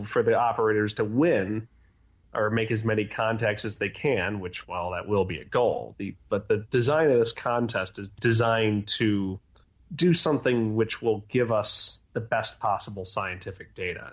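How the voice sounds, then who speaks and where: A man being interviewed as a guest, explaining things in technical detail. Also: on the low side. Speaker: interview guest, contest organizer. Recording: dull, lo-fi band-limited audio.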